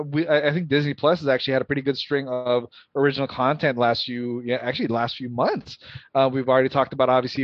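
A man's voice: mid-range voice, dry recording. A man co-hosting a casual podcast chat, bringing in the next segment with lots of fillers. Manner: chatty, hedges with fillers. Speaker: podcast co-host. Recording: dull lo-fi sound.

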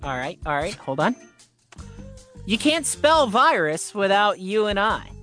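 Hammy radio guy egging his buddy on, voice a bit high and jumping all over. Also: very animated, a little slow, sharp tone, soft background music. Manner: playful, hammy, eggs his partner on. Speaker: radio morning show co-host. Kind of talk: bantering morning radio chat.

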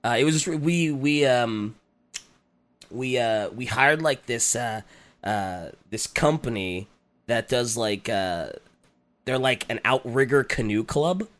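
A man co-hosting a podcast, talking casually with a raspy, congested voice while getting over a cold, hesitant and full of fillers. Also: mid-range voice, lively intonation, slow pace, a bit bright. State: recovering from a cold. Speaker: podcast co-host. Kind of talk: casual chat.

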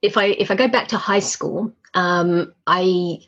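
Husky-voiced chatty woman, lo-fi sound. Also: lowish voice, steady tone, unhurried, fairly bright tone. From Australia. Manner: chatty, laughs often, many ums. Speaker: guest. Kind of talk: casual interview chat.

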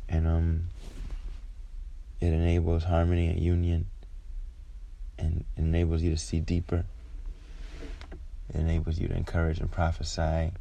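Deep, gravelly man, halting and reflective. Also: warm tone. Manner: halting, reflective, pausing often mid-phrase. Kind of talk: informal devotional talk.

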